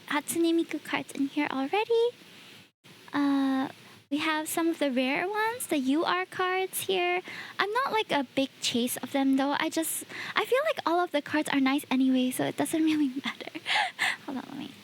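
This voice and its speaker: High-voiced chatty woman. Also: a little hiss or hum. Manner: chatty, talks straight to chat, laughs often. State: a bit sick, sniffly. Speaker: streamer. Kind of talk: casual livestream chat with viewers.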